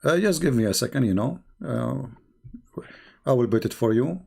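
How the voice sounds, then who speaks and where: A man's voice: medium pitch, lively intonation, normal speed, gravelly, warm tone, slightly rough. A man with an Arabic accent hosting a heated call-in debate, bluntly repeating his questions and pressing the caller. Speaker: host of a live call-in debate.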